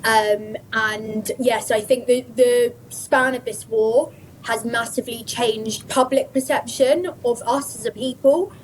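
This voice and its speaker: A woman answering interview questions in an earnest, measured, somewhat hesitant way. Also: fairly high pitch, fairly even intonation, slight background noise, low-quality recording.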